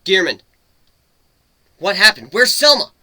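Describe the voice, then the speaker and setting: Man playing a brash, sharp-voiced griffin captain. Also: high-pitched, sing-song, measured pace, dry recording, voice pushed up, heavily acted. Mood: blunt, questioning. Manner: blunt, emotional, swearing. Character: brash, hot-tempered male griffin crew captain.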